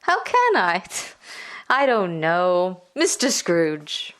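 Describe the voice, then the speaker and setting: A woman playing a gentle wife in a classic radio drama, speaking in a deliberate way. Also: fairly low pitch, big pitch swings, exaggerated voice. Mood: curious. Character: gentle wife and mother, Belle.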